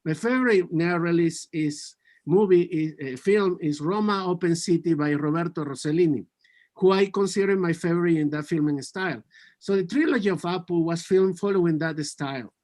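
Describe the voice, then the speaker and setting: A man's voice: fairly high pitch, normal speed, darkish tone. A man in his forties from Peru, a native Spanish speaker with a Peruvian accent, giving a prepared conference talk in an earnest way, often restarting and correcting his words. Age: 40s.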